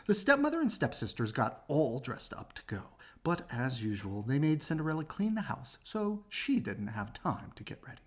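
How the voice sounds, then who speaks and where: A woman reading a children's story aloud in an animated, husky narrating voice. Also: low-pitched, normal speed, hardly any pauses. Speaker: storybook reader.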